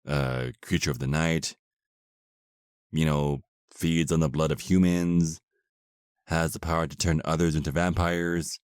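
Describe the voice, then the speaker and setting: A man's voice: extremely low pitch, fairly even intonation, a little slow, a little mellow, smooth, dry recording, studio quality. A man playing an exasperated, sarcastic vampire husband, centuries old but youthful, in a comedic audio roleplay. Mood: smug, condescending. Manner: sarcastic, complaining.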